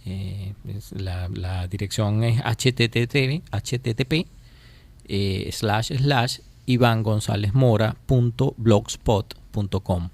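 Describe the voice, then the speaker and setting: A Venezuelan man giving political commentary as a radio interview guest, speaking emphatically and deliberately and repeating phrases to drive points home. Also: on the low side, steady tone, darkish tone. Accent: Venezuelan Spanish. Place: radio studio.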